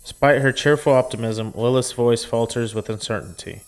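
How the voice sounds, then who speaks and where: A man's voice: mid-range voice, normal speed, clear, resonant tone, soft background music. A man reading a visual novel aloud as a gaming streamer, in a storytelling style with casual asides.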